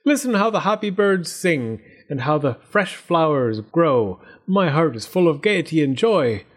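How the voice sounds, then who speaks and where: A man's voice: on the high side, sing-song, measured pace. A man voicing a proud, lordly male rooster in a storybook, declaiming grandly. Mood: joyful.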